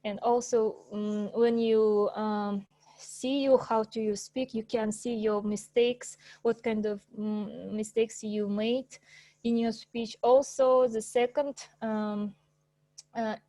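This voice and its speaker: A woman whose first language is Russian gives an informal presentation over a video call, pausing often with fillers and restarts. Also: on the high side, little pitch movement, dark tone, no room sound. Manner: hesitant, many fillers and restarts, laughs often. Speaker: presenter at an English club.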